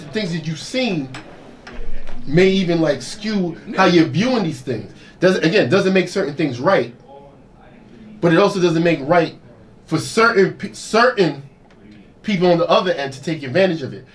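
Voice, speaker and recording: A man's voice: high voice, far from the mic. Rambling, earnest man, a bit off-mic. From Caribbean family roots. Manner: earnest, hedging, many restarts. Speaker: guest DJ. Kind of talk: casual podcast chat.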